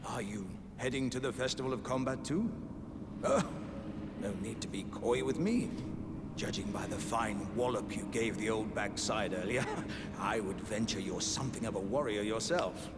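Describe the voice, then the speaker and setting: An actor voicing a jovial, hearty living warrior vessel in a fantasy game, chummy and theatrical. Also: male voice, moderate pace, close to an everyday voice. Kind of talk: scripted fantasy game dialogue. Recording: reverberant, loud ambient rumble behind.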